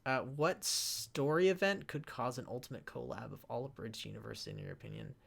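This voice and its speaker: A man answering fan questions in a casual live Q&A as a panelist, halting and often rambling as he interrupts himself. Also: mid-range voice, very animated, vocal fry, fairly bright tone.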